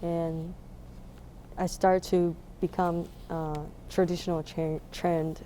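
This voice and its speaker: Young woman, Taiwanese accent, interviewee. Soft-edged mellow tone, halting casual delivery, faint background noise. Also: fairly low pitch, little pitch movement. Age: young adult. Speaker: musician being interviewed.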